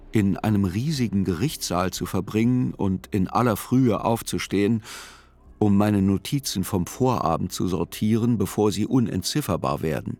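An actor playing a reflective male writer who reports on a trial in a measured, sober radio drama narration. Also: fairly low pitch.

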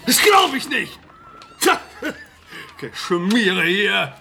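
A man playing a furious, blustering, contemptuous man shouting in a radio drama. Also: high-pitched, very animated, drawn-out words, gravelly, noisy background, heavily acted.

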